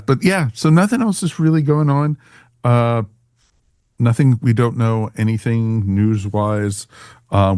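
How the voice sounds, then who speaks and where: A man's voice: fairly low pitch, warm tone, no echo, studio quality. A man from the United States hosting a podcast in a chatty, rambling, welcoming way. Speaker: podcast host. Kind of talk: casual podcast talk.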